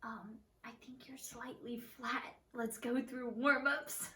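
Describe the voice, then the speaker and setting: A woman's voice: fairly high pitch, fairly even intonation, slow pace, bright, forceful tone, distant mic. A young woman from Portland, Oregon, chatting casually as a guest musician, self-deprecating and laughing easily. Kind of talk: casual chat. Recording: echoey room, a bit off-mic.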